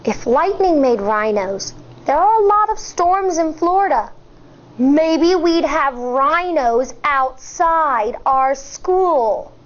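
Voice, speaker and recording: An American woman in her thirties, a teacher reading a picture book aloud to children in an expressive, deliberate way. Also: high voice, lo-fi sound. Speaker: elementary school teacher.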